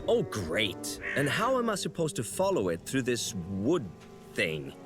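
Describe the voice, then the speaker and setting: A man's voice: medium pitch, wildly swooping pitch, measured pace. A man voicing a young apprentice angel, polite, bemused and a bit hesitant. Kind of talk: video game cutscene voice acting. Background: game music.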